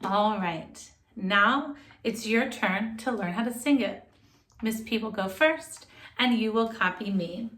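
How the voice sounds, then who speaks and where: A woman music teacher who leads children through a song in call and response, then reads a story aloud. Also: medium pitch. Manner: slow, gentle call-and-response singing with a ukulele. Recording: roomy echo with loud ukulele.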